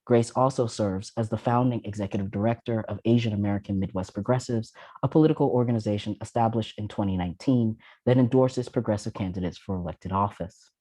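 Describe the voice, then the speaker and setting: A man introduces a panel, reading each speaker's biography from prepared notes. His voice is mellow and unforced, and the recording is dry and close. He pauses now and then on a filler sound. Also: fairly low pitch, dry recording, poor audio. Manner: measured, reads from notes with some filler "uh"s. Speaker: event host introducing panelists.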